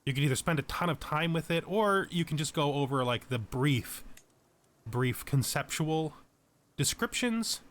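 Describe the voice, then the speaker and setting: A man's voice: a little high, animated, unhurried, fairly bright tone. A man giving explanatory let's play commentary as a tutorial host, methodical and thorough in how he explains things. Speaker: game tutorial host, player.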